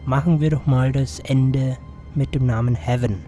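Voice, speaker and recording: A hoarse man hosting a let's play, commentating casually with rambling, mumbled asides, sitting close to the mic. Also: mid-range voice, fairly even intonation, normal speed, dark tone, soft background music. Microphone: close to the microphone.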